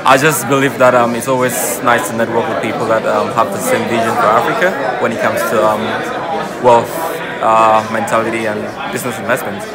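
A man from Cameroon speaks in an even, flat tone and pauses often on "um". He is recorded close on a phone in a large function room. Loud crowd chatter fills the background. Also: on the low side. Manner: steady, frequent um pauses. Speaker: event attendee. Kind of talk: casual vox pop interview. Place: large indoor function room at a networking event. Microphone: phone held close, selfie framing.

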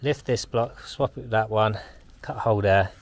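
Give me a young man with a fairly low voice, talking a self-build through on site with light outdoor noise behind. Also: normal speed. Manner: practical, explaining on the go. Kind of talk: casual site walkthrough. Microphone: handheld action camera.

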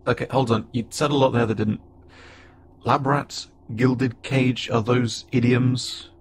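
A man voicing a friendly, curious, slightly awkward alien spacefarer in a conversational audio roleplay, speaking haltingly and wryly. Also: mid-range voice, fairly even intonation, noisy background, natural voice. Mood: puzzled. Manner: casual, halting, gently wry. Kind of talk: conversational audio roleplay acting to an unheard listener.

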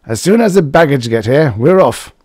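A man voicing a brash, tipsy young male drill leader who hiccups and barks orders, in a lively, loud way. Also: on the high side, normal speed, dry recording, studio quality, voice pushed up. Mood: gruff, brash. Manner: boastful, gruff barking orders.

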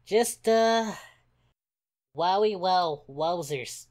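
A woman's voice: fairly low pitch, expressive, very slow, darkish tone. Woman as a playful, swooping anime-hero host. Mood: chatty, amused. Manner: exclamatory, giggly, mock-dramatic, slangy. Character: cheerful young wolf-eared Goku, male anime hero hosting. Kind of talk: playful reaction video commentary.

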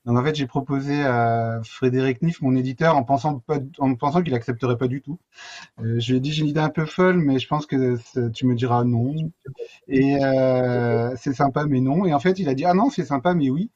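A man's voice: medium pitch, a little fast, darkish tone, lo-fi sound. A middle-aged man, a guest author explaining casually over a video call. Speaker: guest comic book author. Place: indoor room with bookshelves, video call.

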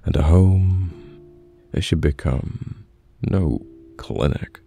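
A man playing a caring, patient boyfriend in an ASMR roleplay, speaking gently and haltingly with reassurance, close to the mic. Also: deep voice, vocal fry, heavily acted. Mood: calm, reassuring. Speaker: voice actor in an audio roleplay. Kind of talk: soft-spoken ASMR boyfriend roleplay, pillow talk. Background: soft piano or guitar music.

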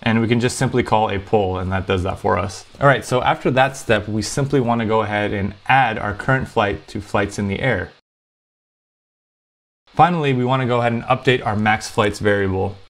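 A man's voice: lowish voice, moderate pace. A man hosting a programming tutorial, explaining step by step in a conversational way.

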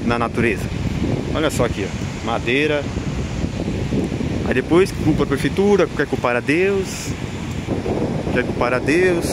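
A man with a Brazilian accent giving unscripted walking vlog commentary, repeating himself in an admonishing way with frequent tag questions. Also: medium pitch. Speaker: vlogger. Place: outdoors on an open windy beach. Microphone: handheld camera mic, behind the camera. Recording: loud wind noise on mic. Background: wind and outdoor ambience.

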